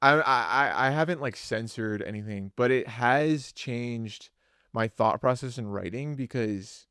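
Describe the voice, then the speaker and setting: A man's voice: mid-range voice, a little slow, fairly bright tone, smooth, no room sound, studio quality. A young man, a musician co-hosting a podcast, chatting casually and rambling with lots of filler words. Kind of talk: casual chat.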